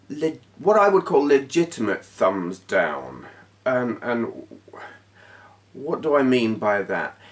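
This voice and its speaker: Guy vlogging with a head cold, blunt and chatty, mic a bit far off. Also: lowish voice. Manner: frank and blunt, with restarts and short laughs. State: stuffy nose from a cold. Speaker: YouTube channel host. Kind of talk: casual talking-head vlog.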